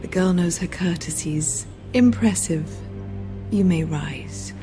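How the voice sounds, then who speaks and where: Haughty low-voiced queen. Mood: condescending. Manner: imperious, cutting, deliberate. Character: haughty, suspicious queen regent, cold and imperious. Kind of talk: dramatic video game acting. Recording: music behind the voice.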